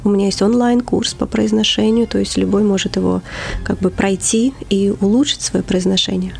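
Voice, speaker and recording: Woman, english teacher, interview guest, gravelly, average pitch, whispers for emphasis. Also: normal speed, faint music behind. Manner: expressive, drops to a whisper for emphasis. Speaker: English teacher running a children's theater.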